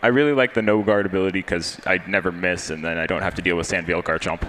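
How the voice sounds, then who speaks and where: A man's voice: deep voice, fairly even intonation, fast talker, pushed and bright, a little hiss or hum. A teenage male player giving casual, understated, matter-of-fact answers as an interviewed champion. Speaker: tournament champion being interviewed.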